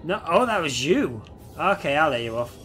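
A man's voice: a little high, big pitch swings, normal speed, bright, forceful tone, soft background music. A man in his thirties with a head cold and a nasal voice, chatting casually over a game and swearing at the creatures on screen. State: head cold, stuffy nose. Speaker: gameplay streamer. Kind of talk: casual gameplay commentary. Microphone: headset.

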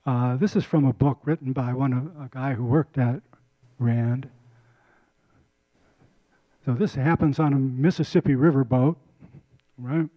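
A man's voice: medium pitch, fairly even intonation, unhurried, long pauses, no room sound, muffled recording. An older man giving a casual community lecture on a handheld mic, often repeating and restarting words. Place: lecture room.

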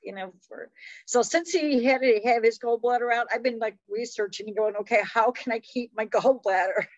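A woman's voice: a little high, normal speed, dry recording. A woman in her fifties chatting casually as a podcast host on a video call. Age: 50s. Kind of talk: casual conversational interview. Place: small room at home, indoors. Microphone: computer mic on video call. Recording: dull lo-fi video-call audio.

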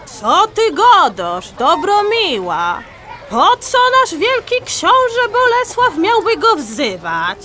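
Woman acting a doubting peasant, incredulous, animated pitch, slow, audio drama. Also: soft background music, a faint crowd, full character voice. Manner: rustic dialect, incredulous.

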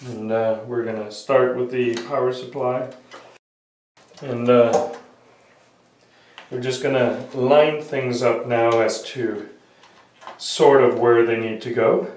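A man's voice: mid-range voice, fairly even intonation, darkish tone. A man with a sniffly cold explains a repair step by step, with many "uh" fillers as he works with his hands at a workbench. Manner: unhurried, many "uh" fillers, talking while working with hands. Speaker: hobbyist repair tutorial maker. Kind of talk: casual step-by-step repair tutorial. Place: small room at a workbench, indoors. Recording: roomy, distant camera audio with faint noise.

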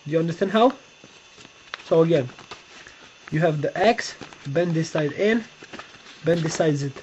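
A young man with a Romanian accent and a fairly high voice talks through a paper-folding demo, pausing and repeating himself while he searches for English words. The sound is dull, lo-fi webcam audio with a faint hiss behind it. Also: darkish tone. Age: young adult. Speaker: tutorial maker. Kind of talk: casual step-by-step demonstration. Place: bedroom, seated on a bed indoors. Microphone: webcam close-up.

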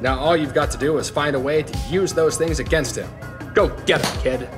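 A man's voice: a little high, fairly quick, lightly acted. An actor playing a wisecracking, fatherly sidekick who talks in folksy old-fashioned slang, in a comic audio drama. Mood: encouraging. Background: music.

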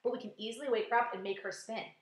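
A woman voicing an old male grasshopper, kindly, excitable and emphatic, in a children's storybook. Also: fairly high pitch, fast, close to an everyday voice. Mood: excited, emphatic. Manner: sharp, emphatic exclaiming. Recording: echoey, roomy sound.

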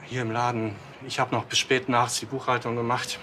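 A man's voice: mid-range voice, little pitch movement, brisk, background noise, natural voice. An actor playing a guarded male shopkeeper answering questions in a TV crime comedy.

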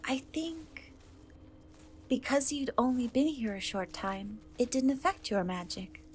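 A woman playing a gentle, wistful young woman cursed into a half-cat, in a soft, hesitant dark fantasy ASMR roleplay. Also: fairly high pitch, lively intonation, a little hiss or hum. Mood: earnest. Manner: hesitant, soft, slow, often trailing off.

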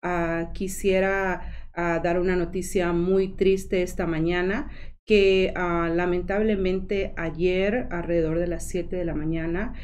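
A woman hosting a community morning show, chatting casually with her guests and often pausing on fillers. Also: fairly low pitch. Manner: warm, chatty, frequent fillers like "ah" and "am". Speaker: host. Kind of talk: casual chat show interview.

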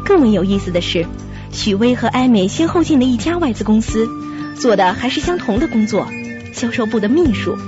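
A woman's voice: a little high, animated, fairly quick, close to an everyday voice. A woman playing a pensive, uneasy young woman who narrates a radio drama mystery in the first person. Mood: reflective, uneasy. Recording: dull lo-fi audio. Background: music.

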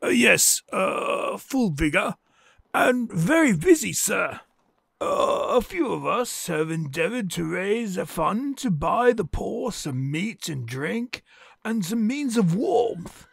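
An actor playing an earnest gentleman collecting for charity, polite and hesitant. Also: high-pitched, very animated, takes it slowly, gravelly, slightly rough, no room sound, studio quality, full character voice. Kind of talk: audio drama acting.

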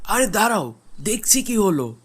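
A man's voice: high voice, sing-song, normal speed, fairly bright tone. A man playing a courteous, questioning well-off traveling husband in a cartoon. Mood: troubled. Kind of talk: cartoon voice acting.

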